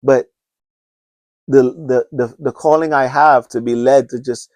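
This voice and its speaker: A man's voice: medium pitch, steady tone, a little slow, a little mellow, no room sound. A man, speaking unscripted on his own podcast in an earnest, rambling way full of restarts and urgent appeals. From Trinidad and Tobago. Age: 50s. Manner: earnest, rambling, many restarts, preacher-like urging. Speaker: podcast host. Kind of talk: unscripted solo podcast talk.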